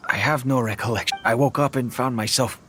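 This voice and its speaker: Kindly tired dad, man acting: mid-pitched, halting, tender game cutscene delivery. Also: a bit bright, soft background music, natural voice. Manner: hesitant, tender. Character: gentle, weary amnesiac father, kindly and halting.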